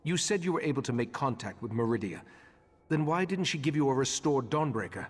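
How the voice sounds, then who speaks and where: A calm, grave mystic leader from a fantasy game. His delivery is formal and measured, with a slightly husky grain and a long hall reverb. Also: mid-range voice. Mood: grave, composed. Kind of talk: video game voice acting.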